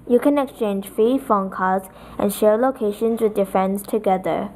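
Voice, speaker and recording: A woman presenting a software tutorial, reading from a script as narration. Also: mid-range voice, normal speed, a little hiss or hum, poor audio.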